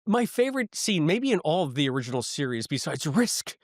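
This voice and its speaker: A man's voice: on the high side, sing-song, fairly quick, dry recording, clean studio sound. A man co-hosting a podcast, talking through a review in a rambling, casual way with stammered restarts. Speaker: podcast co-host.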